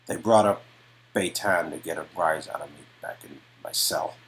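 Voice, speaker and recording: Weary male detective, acted by a man, deep raspy voice, guarded and halting, story-game dialogue. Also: fairly bright tone, slight background noise. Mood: weary, nonchalant. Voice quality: low and raspy.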